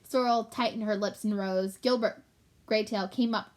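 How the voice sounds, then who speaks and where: A woman's voice: mid-range voice, steady tone, moderate pace. A woman storyteller reading a children's book aloud expressively and in an explanatory way for young listeners.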